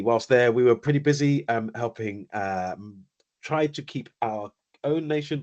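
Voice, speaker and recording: A middle-aged British man with a British accent, a company founder speaking as a webinar guest in an articulate, measured way with dry humour. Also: medium pitch. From United Kingdom. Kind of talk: webinar interview answers.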